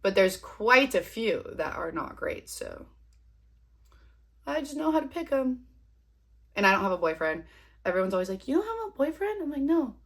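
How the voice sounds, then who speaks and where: A woman's voice: fairly high pitch, big pitch swings, normal speed, pauses often. A woman in her twenties with a sniffly cold, chatting casually and rambling to her viewers while she does her makeup at home. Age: 20s. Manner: chatty, rambling, self-deprecating. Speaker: vlogger. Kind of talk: casual chat to camera.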